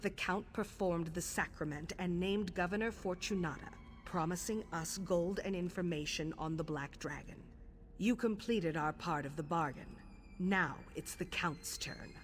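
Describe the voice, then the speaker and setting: Woman acting a low-voiced, stern assassin matriarch, faint music. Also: natural voice. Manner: measured, formal, authoritative. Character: stern, composed female leader of an assassins' guild. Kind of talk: video game voice acting. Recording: faint background music under the voice.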